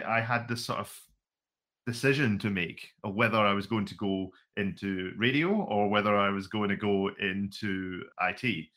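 A man's voice: on the low side, a bit bright. A Scottish man in his forties, a podcast co-host telling stories with wry, self-deprecating humor. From Scotland. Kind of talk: casual podcast chat. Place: home room full of vintage computers.

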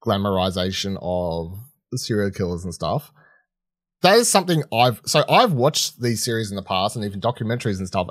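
A man co-hosting a podcast, chatting casually and swearing freely, with a halting, rambling way of talking that often restarts mid-sentence. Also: lowish voice, no room sound. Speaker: podcast co-host reviewing film and TV. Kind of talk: casual unscripted chat.

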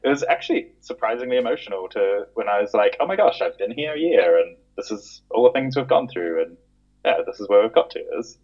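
A man's voice: lowish voice, animated, fast, a little mellow. A man with a New Zealand accent chatting casually as a podcast guest about his own experiences. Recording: dull, narrow lo-fi connection.

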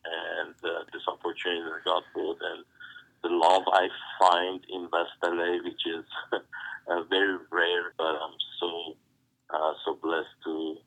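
A pastor from Iran with an Iranian accent, whose first language is Farsi. His voice is very deep and flat but bright, and he speaks haltingly, searching for words. It sounds like a radio broadcast. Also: vocal fry, poor audio. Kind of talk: interview answers.

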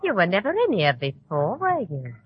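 A woman's voice: medium pitch, wildly swooping pitch, moderate pace, slightly rough. An actress playing a chummy, probing tavern girl with shady schemes in a 1940s radio drama. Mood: chummy, coaxing. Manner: familiar, coaxing, probing. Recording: tinny, narrow old radio recording.

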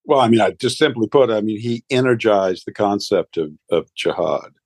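Deep-voiced hesitant man. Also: lively intonation, moderate pace, darkish tone, dry recording. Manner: hesitant, many fillers. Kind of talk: panel discussion.

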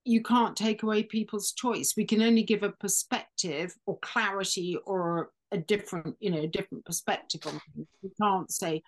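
A woman from Ireland with an English accent speaks at a middle pitch as a guest on a video-call interview. She explains her work in an earnest, careful way, often correcting herself mid-sentence. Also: normal speed. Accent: English, Irish accent lost. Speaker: intuitive energy reader, guest. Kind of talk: casual interview talk.

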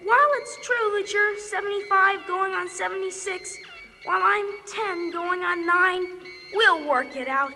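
A woman's voice: very high-pitched, measured pace, sharp tone, full character voice. A woman playing a determined ten-year-old boy with old-fashioned, earnest speech in a comic radio play. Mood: tender, rallying. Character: plucky ten-year-old boy, really an old man ageing backward. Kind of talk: comic science fiction radio acting. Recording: long hall reverb, music underneath.

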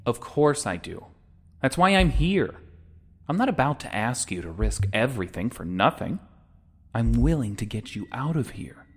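A man's voice: medium pitch, sing-song, normal speed, slightly rough. An actor playing a wry young would-be freedom fighter in a sci-fi audio drama, talking in a casual, sardonic way as he bargains. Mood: earnest, bargaining. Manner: casual, sardonic, informal wording. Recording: music under the voice.

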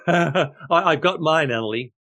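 A man in his sixties hosting, calmly guiding listeners to pause and reflect. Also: on the high side. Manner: calm, inviting listeners to pause. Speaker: host. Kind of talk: guided reflection.

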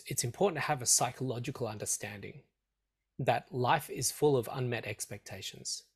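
A man with a slightly croaky throat, a meditation teacher giving earnest, measured answers in an interview and repeating phrases for emphasis. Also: mid-range voice. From Australia.

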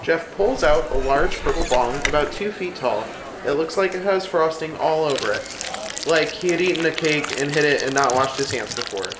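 A man's voice: fairly high pitch, normal speed. A man reading scripted stage directions as narrator of a comedic radio play. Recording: echoey room, clear background noise.

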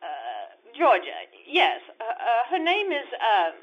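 A woman playing a fussy, flustered, well-meaning older grandmother with a halting manner in an old-time radio comedy. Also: on the high side, huge pitch swings, heavily acted. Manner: fluttery, halting. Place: live theater stage. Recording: dull live stage audio.

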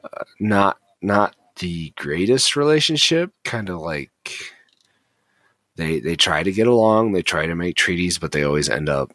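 A man's voice: deep voice, animated, unhurried, a bit bright, dry recording. A man co-hosting a casual Bible study podcast, explaining at length with frequent restarts. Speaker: podcast co-host.

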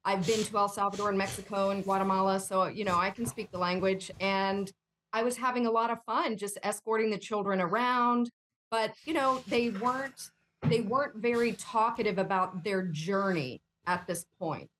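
A woman's voice: mid-range voice, normal speed, fairly bright tone, slight background noise, poor audio. A woman being interviewed as a guest, answering in a plain, conversational, storytelling way. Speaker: guest, whistleblower.

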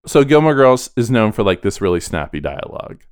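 A man's voice: lowish voice, sing-song, fairly quick, smooth, dry recording, studio quality. A man co-hosting a podcast in casual chat, talking in a chatty, self-deprecating way. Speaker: podcast co-host.

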